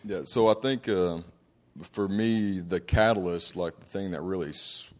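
A man with a very low voice speaks reflectively, giving his testimony. He chooses his words slowly and leans on fillers. He talks from a conference stage. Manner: measured, reflective, hesitant fillers. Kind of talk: personal testimony talk.

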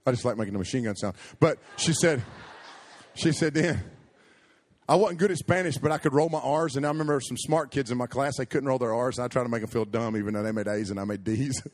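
A man preaching a sermon, telling a story with animated, jokey asides and frequent self-interruptions. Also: medium pitch, quick pace.

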